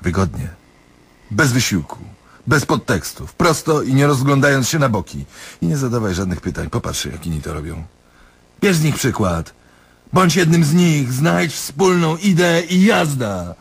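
An actor playing a diabolical male doctor in a radio drama, sardonic and domineering, drawling words for emphasis in a husky voice. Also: mid-range voice, big pitch swings, moderate pace, exaggerated voice. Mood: taunting, commanding. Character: diabolical, mocking male doctor toying with his patient.

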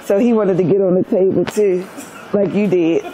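A woman's voice: mid-range voice, fairly even intonation, moderate pace, muffled recording, noisy background. A middle-aged woman bantering casually and teasingly as a client on a treatment table. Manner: joking, teasing. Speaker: chiropractic client. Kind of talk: casual banter during a session. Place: large indoor treatment studio, lying on table.

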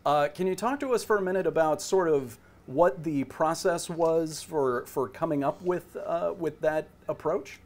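A middle-aged man conducts a conversational interview as host over a video call. His voice sits a little high for a man. He phrases his questions haltingly, often repeating words as he goes. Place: indoor room at home.

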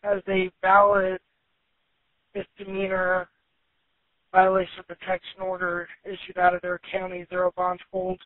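A woman's voice: fairly low pitch, completely flat delivery, normal speed, long pauses, dry recording. A woman working as a sheriff's dispatcher, speaking in a clipped, procedural way over radio and phone. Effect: radio and phone line. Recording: hissy, narrowband dispatch line recording.

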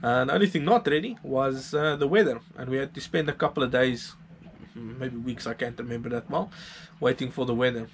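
A man's voice: mid-range voice, brisk, slight background noise. Casual South African man vlogging. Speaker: sailing vlogger. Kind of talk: casual vlog narration.

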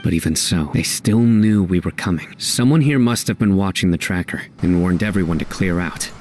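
An actor playing a serious, composed young lone enforcer in a video game, speaking in a measured, authoritative way. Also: male voice, deep voice, a little mellow, a little hiss or hum, lightly acted. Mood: calm, calculating.

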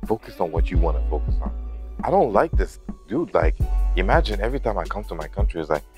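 A man's voice: low-pitched, big pitch swings, quick pace, a little mellow, faint music behind. A young man in his twenties talking to camera in a loose, rambling vlog, full of "you know" and "like", recorded on a lapel mic indoors in a house. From grew up in Lebanon. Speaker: vlogger.

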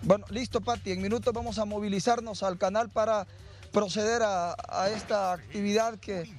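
A man's voice: sits high, steady tone, moderate pace, faint music behind. A man with a Honduran accent reporting live on TV news from the scene of a fire, outdoors, on a handheld mic, urgent and guiding the camera. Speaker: field reporter. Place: outdoors at burned homes.

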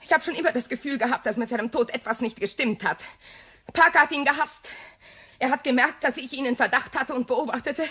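An actress playing a distraught widow in a radio crime drama, fragile and faltering, with a breathy voice. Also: female voice, high-pitched, a little fast, pushed and bright, full character voice. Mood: shaken, fragile. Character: distraught widow feigning shock, secretly calculating.

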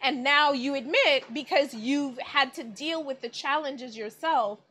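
A woman's voice: high voice, measured pace, fairly bright tone. A woman hosting an interview over a video call as a magazine's editorial director, speaking in an effusive, admiring way. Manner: effusive, admiring, many exclamations. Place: indoors in front of a plain wall. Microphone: video call webcam.

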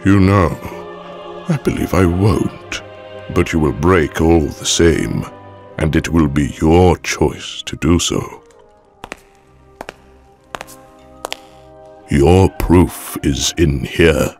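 An extremely deep, slow-spoken old aristocratic villain in an audio drama. He is formal, calm and manipulative, with silky menace, over background music. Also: exaggerated voice. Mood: quietly menacing. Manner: measured, formal, silkily menacing.

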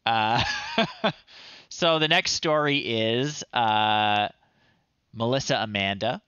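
Male stream host, thirties, casual and amused, crisp tone, leisurely delivery. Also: mid-range voice, sharp tone, dry recording, dull audio. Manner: unhurried, amused, halting with pauses. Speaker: livestream host. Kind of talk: casual livestream commentary, reading children's stories aloud. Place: small home room, indoors.

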